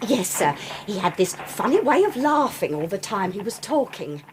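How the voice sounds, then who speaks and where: Female English radio-drama landlady, played by an actress: humble, chatty, hesitant, extremely animated pitch, noisy setting. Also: moderate pace, natural voice. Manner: hesitant, rambling, respectful. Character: deferential working-class London landlady, chatty and flustered.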